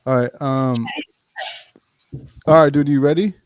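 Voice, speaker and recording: A man's voice: medium pitch, fairly even intonation, unhurried, clear, resonant tone, no room sound. A man hosting a sneaker livestream, chatting casually, swearing freely, and reading viewer comments aloud. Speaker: livestream host.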